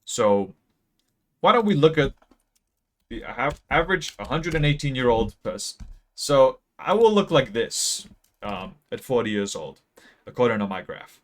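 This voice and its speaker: Young man, late teens, deadpan mock-math rambler, hesitant, close peaking mic. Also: mid-range voice, measured pace, long pauses. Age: young adult. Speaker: video creator. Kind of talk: mock-serious graph explainer. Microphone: close mic that peaks.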